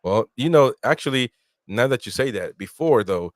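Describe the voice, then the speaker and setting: A man's voice: mid-range voice, expressive, normal speed, no room sound, clean studio sound. A Peruvian-born man hosting a casual football watch-along live stream, chatting loosely and reading out viewer comments. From Peru. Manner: laid-back, chatty, reads out viewer comments.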